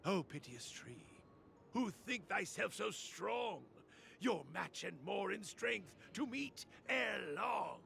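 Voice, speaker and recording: An actor, a woman, playing an eccentric, excitable genius inventor with theatrical flair in an anime-style video game, declaiming grandly. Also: medium pitch, takes it slowly, creaky, slight background noise, heavily acted. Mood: grandly poetic.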